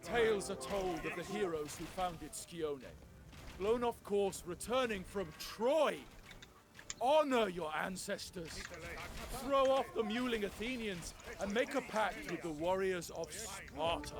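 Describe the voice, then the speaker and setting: An actor playing an eloquent, commanding Spartan general who speaks in formal, persuasive oratory in a game cutscene. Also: male voice, high voice, expressive, a little slow. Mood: firm. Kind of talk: dramatic video game cutscene acting.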